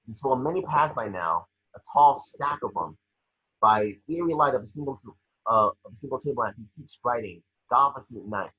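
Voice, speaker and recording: Husky man reading scene descriptions. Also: mid-range voice, animated, moderate pace, sharp tone, highs cut off. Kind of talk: scripted narration at an online table read.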